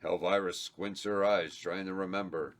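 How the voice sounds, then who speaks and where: A man with a very low voice reads the stage directions at a table read. His delivery is plain and unhurried. He stumbles over a word here and there. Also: steady tone.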